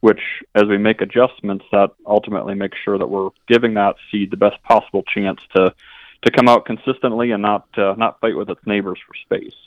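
A man's voice: on the low side, fairly even intonation, brisk, dry recording. A man from an equipment dealership, explaining things as a radio guest and often restarting his sentences. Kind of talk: interview answers. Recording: dull, lo-fi line.

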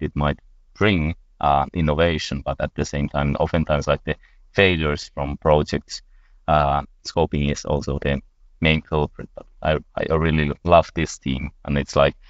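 A man's voice: extremely low pitch, no echo. A man from Finland, a marketing officer, talking in a podcast panel with lots of hesitant fillers. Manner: hesitant, filler-heavy explaining. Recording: dull dry lo-fi sound.

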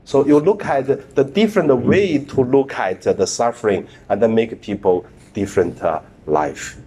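A man, a priest whose first language is Mandarin, preaching a sermon in halting speech with many fillers and repeated words. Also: medium pitch, dark tone, breathy edge.

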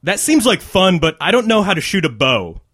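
A man's voice: sits high, big pitch swings, normal speed, a bit bright, husky, voice pushed up, full character voice. A man voicing an awkward, sheepish young male clerk with a hesitant, nerdish lilt in a comic story reading. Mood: eager, unsure.

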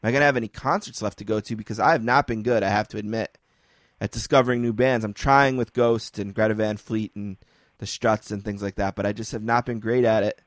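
A man's voice: lowish voice, steady tone, no echo, studio quality. A man hosting a podcast, chatting casually and joking at his own expense. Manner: chatty, self-deprecating, quick asides. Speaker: podcast host. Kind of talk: casual chat.

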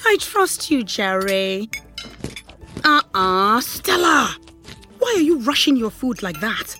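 A woman's voice: on the high side, big pitch swings, slow, sharp tone, soft background music, exaggerated voice. A woman acting a strict, meddling middle-aged Nigerian mother in an audio drama, scolding and probing with emphasis.

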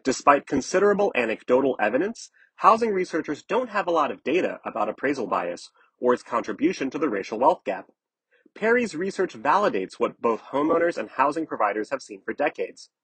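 A man's voice: medium pitch, big pitch swings, fairly quick, fairly bright tone, breathy edge, no echo. A man narrating a news article from a script as a reporter.

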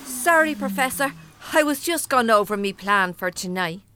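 An actress with an Irish accent playing a chatty, down-to-earth housekeeper in an audio drama, homely and colloquial. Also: female voice, a little high, expressive, normal speed, bright, forceful tone, a little hiss or hum. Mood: innocent.

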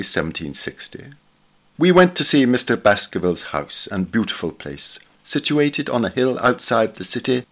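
A man reading a literary article aloud as scripted narration, in a measured, formal manner. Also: on the low side, a bit bright. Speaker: reader of a journal article.

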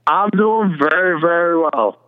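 Phone-line sound, man, American accent, slightly high clear voice, casual skeptical radio caller, dismissive. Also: a little slow. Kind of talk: casual political debate on talk radio. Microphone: telephone. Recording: narrow, tinny phone line.

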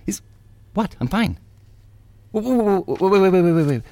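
An actor playing a bitter, sarcastic novelist stuck in an office job, rambling and melodramatic in a satirical audio drama. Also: male voice, fairly low pitch, sing-song, a little fast, vocal fry, no room sound. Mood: desperate, indignant.

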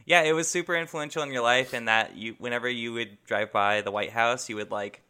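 A man co-hosting a podcast in casual chat, with a mostly flat voice and frequent laughs. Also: mid-range voice, fairly quick, smooth. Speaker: podcast co-host.

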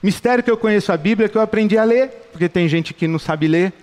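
Brazilian pastor, middle-aged, fervent and repetitive, high-pitched, reverberant hall. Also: male voice, quick pace, a little mellow. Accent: Brazilian Portuguese. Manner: passionate, rhetorical repetition. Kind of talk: sermon.

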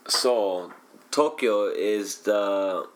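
A man's voice: on the low side, extremely slow, slight background noise. A young man in casual chat with a friend, swearing freely as he tells a story.

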